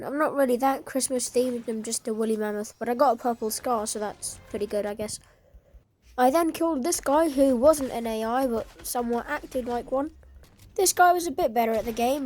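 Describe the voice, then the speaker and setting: A woman's voice: fairly high pitch, darkish tone. A woman with a sore, congested throat, giving dry, self-deprecating voice-over commentary on her gameplay clips. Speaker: gaming video creator.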